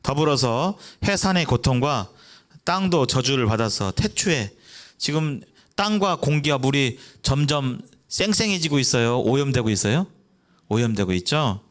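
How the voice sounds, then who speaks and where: A young man delivers an evangelistic sermon in a speaking voice of middle pitch. He keeps asking the congregation questions and answers them himself, and at times he briefly acts out children in a high voice. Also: expressive, normal speed. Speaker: preacher.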